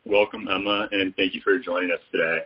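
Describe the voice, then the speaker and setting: Very deep, husky man asking politely. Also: fairly even intonation, fast, lo-fi sound. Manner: polite, formal questions. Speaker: interviewer co-host.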